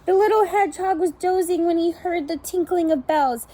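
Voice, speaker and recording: A woman preschool teacher reading a picture book aloud to young children, in an animated storytelling style with asides to the listeners. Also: extremely high pitch. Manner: pauses to comment and question the children.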